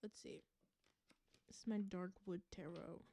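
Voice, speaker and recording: A woman from the American South giving an intuitive tarot reading to viewers. She gives earnest advice, repeats phrases for emphasis and laughs softly. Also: on the low side, fairly even intonation, a little slow, gravelly. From Southern United States. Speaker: tarot reader.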